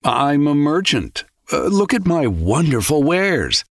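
A man's voice: on the high side, very animated, slow pace, dry recording, studio quality, exaggerated voice. An actor playing a loyal, cautious male servant in a children's story, respectful and a little hesitant. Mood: helpful, persuasive. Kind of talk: children's audio story acting.

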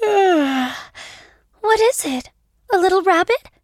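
A high-pitched, playful little girl. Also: expressive, extremely slow, no room sound, studio quality, full character voice. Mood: sleepy, curious. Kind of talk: children's storybook audio drama.

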